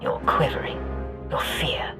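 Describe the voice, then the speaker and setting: An actress playing a cold, commanding, quietly menacing Imperial agent in a video game cutscene. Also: female voice, unhurried, over music, natural voice. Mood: taunting, controlled.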